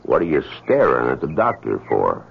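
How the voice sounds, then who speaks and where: An actor playing a gruff, suspicious army corporal in an old-time radio drama, questioning people in a folksy, deliberate, probing way. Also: male voice, extremely low pitch, wildly swooping pitch, heavily acted. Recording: muffled, noisy old radio recording.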